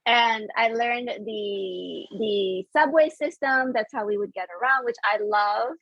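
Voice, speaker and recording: A woman guest whose first language is Indonesian, friendly and laughing often in a relaxed chat over a video call. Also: on the high side, measured pace, gravelly, no room sound. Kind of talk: casual interview chat. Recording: muffled lo-fi stream audio.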